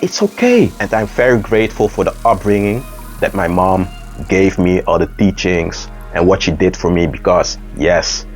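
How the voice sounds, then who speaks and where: A man, speaking earnestly and haltingly in a personal reflective monologue, often pausing to search for words. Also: deep voice, expressive. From Netherlands. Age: 30s. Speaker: dancer and artist. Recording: dull lo-fi recording with faint music. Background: faint background music.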